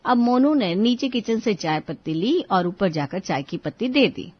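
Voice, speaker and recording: A woman's voice: medium pitch, lively intonation, moderate pace, husky, dry recording, dull audio. A woman narrating a scripted story as a storyteller.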